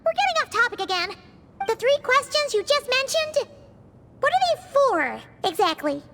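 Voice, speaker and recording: Squeaky, extremely high childlike fairy. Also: female voice, sing-song, normal speed, fairly bright tone, big-room sound, faint music behind, heavily acted. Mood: confused, impatient. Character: small childlike fairy companion, naive, impatient, speaks in third person. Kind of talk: video game character acting.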